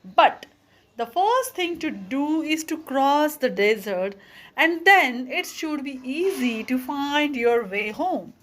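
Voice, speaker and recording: A woman's voice: high voice, animated, takes it slowly, a bit bright, exaggerated voice. A woman reading a children's storybook, voicing a pleasant little humbug wizard man who explains things chattily. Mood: pleasant, musing. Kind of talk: children's storybook read-aloud.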